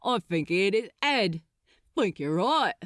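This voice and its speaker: A woman voicing a rough but friendly working-class male ship's stoker with a Cockney accent in an audiobook. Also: medium pitch, very animated, slow pace, a bit bright, no room sound, clean studio sound. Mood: concerned.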